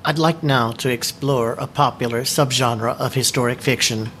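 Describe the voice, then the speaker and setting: A man co-hosting a book discussion, explaining things to the group in a measured, informal presenting manner. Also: mid-range voice, fairly even intonation, a bit bright, slight background noise.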